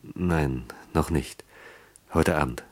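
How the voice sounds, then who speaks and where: A man's voice: takes it slowly, no echo, heavily acted. An actor playing a guarded, torn but soothing male lover in a radio play, speaking tersely and reassuringly. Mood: resolved, weary. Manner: terse, low-key, reassuring. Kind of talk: German radio play acting.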